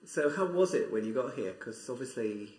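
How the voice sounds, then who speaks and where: A man's voice: medium pitch, expressive, normal speed, a little mellow, roomy sound, low-quality recording. A man interviewing a guest, encouraging him with frequent murmurs of agreement.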